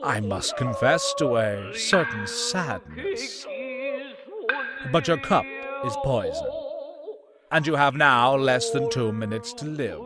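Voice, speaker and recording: Man playing a slow, menacing monk. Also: mid-range voice, very animated. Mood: quietly menacing. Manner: formal, measured, ceremonious. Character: serene, menacing male monk who serves a dark master. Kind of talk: motion comic voice acting. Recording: loud music under the voice.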